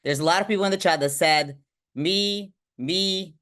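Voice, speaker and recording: Excitable woman giving a motivational training talk. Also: on the low side, normal speed. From Bulgaria. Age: 30s. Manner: excitable, punchy, often checks in with rhetorical questions. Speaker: trainer for a direct sales team. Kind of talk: motivational online training talk to a live group.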